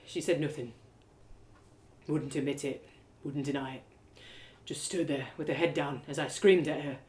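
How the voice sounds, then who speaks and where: A woman's voice: low-pitched, fairly even intonation, normal speed, darkish tone, slight background noise, voice pushed down, natural voice. A woman playing a bitter, wounded young man in a dramatic audio story, brooding and anguished. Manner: brooding, anguished, matter-of-fact. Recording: roomy, echoey live reading.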